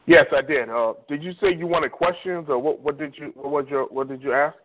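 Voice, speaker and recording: A man's voice: fairly high pitch, a little fast. A man giving informal team coaching on a conference call over the telephone, conversational and repeating himself. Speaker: insurance agent team leader. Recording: dull, lo-fi conference phone line.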